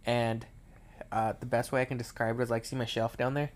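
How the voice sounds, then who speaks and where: Quiet low-energy young man. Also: level tone, a little fast. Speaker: podcast co-host. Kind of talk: casual podcast chat.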